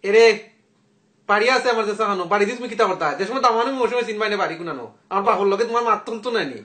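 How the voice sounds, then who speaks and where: A man's voice: high-pitched, racing, sharp tone. A young man with a Sylheti accent making a prank phone call, arguing in a cheeky, provoking way. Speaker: prank caller, speaking as a daughter's suitor. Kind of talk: teasing phone argument.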